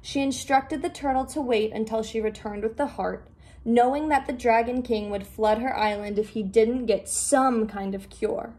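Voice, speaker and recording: Higher-voiced woman reading a storybook. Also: normal speed, a little mellow. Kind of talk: read-aloud storybook narration.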